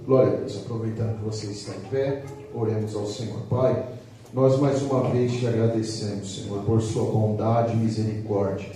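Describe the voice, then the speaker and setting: A man's voice: on the low side, fairly even intonation, moderate pace, mellow, faint music behind. A man leading a fervent spoken prayer as pastor, with repeated invocations, in a church service. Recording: echoey church hall, from far off.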